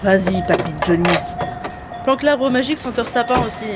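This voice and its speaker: A woman's voice: on the high side, lively intonation, fairly quick, heavy background noise, close to an everyday voice. A woman acting as a grumpy, impatient, sarcastic younger woman who complains through a comedy audio drama. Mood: sarcastic, moaning.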